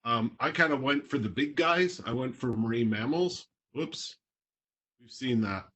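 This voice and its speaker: A man's voice: mid-range voice, unhurried, a bit bright. A man from Toronto, Canada, a marine biologist talking casually on a video call, explaining in plain words with a few fillers.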